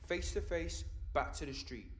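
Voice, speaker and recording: An actor playing a brooding, conscience-stricken rebel radio broadcaster in an audio drama, intense and reflective. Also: male voice, fairly high pitch, animated, normal speed, long reverb tail, lightly acted. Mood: tense, resolute. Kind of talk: fiction podcast audio drama acting.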